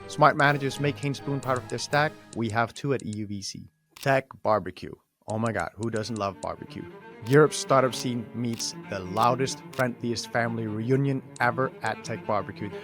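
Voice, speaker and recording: A man's voice: medium pitch, a bit bright, soft background music. A young man with a Danish accent hosting a podcast, chatting casually and reading sponsor spots in an upbeat, jokey way. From Denmark. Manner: upbeat, jokey, fast-talking. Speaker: podcast host.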